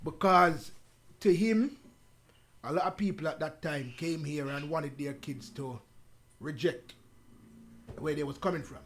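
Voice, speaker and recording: A man from the UK with a Jamaican accent, the guest on an interview show, telling stories in a relaxed, patois-laced way. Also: on the high side, a little slow, pauses often, a little hiss or hum. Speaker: guest artist. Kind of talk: casual interview chat.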